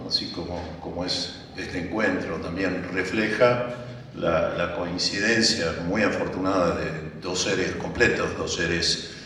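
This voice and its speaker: A man's voice: sits low, steady tone, measured pace, background noise. A man giving a rambling public speech at a book presentation, hesitant, with many fillers and restarts. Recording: echoey hall, from far off.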